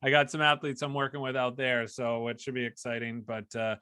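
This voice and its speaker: A man's voice: medium pitch, little pitch movement, fairly quick, sharp tone, clear, resonant tone, no room sound, clean studio sound. An American man hosting a podcast conversationally, speaking in an appreciative, encouraging way to a guest. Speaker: podcast host, sports broadcaster.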